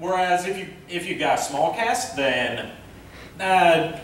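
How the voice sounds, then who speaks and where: A man presenting an informal convention panel, speaking haltingly as he thinks aloud. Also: high voice, fairly bright tone. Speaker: panel presenter, amateur director and voice actor. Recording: echoey room from far off, faint noise.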